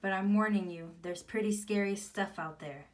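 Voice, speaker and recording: A woman's voice: mid-range voice, moderate pace, recorded from a distance, very noisy, natural voice. A woman voicing a cautious, protective, scolding sister mouse in a children's story. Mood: stern, protective.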